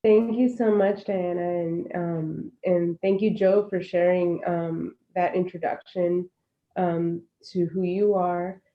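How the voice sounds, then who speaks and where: A woman in her thirties with a fairly low voice speaks in an earnest, reflective, unhurried way. The sound is slightly dull and lo-fi, as on a video call. She comes across as a panelist sharing her story. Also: steady tone. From born in Puerto Rico, raised in Florida, US. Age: 30s. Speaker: panelist, alumna. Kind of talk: casual panel talk.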